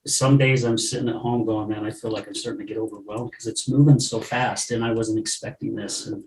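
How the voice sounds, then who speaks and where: A man's voice: lowish voice, fairly even intonation, fairly quick, a little mellow. Chatty man hosting a podcast, echoey room. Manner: enthusiastic, chatty, laughs often. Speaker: podcast host. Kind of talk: casual chat. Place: room with a live audience. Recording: roomy, from a distance, faint noise.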